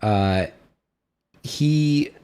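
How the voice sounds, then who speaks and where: A man running a tabletop game as game master, narrating casually in a halting, hesitant way. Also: mid-range voice, expressive, warm tone.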